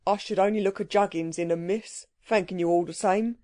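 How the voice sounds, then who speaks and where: A woman voicing a rough working-class male burglar, talking in slangy, grumbling dialect. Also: mid-range voice, fairly even intonation, a little fast, warm tone, dry recording, natural voice. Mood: wary.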